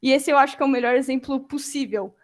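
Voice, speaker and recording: A young adult woman giving an informal lecture, animated and acting out quoted reactions. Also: high voice, fast talker.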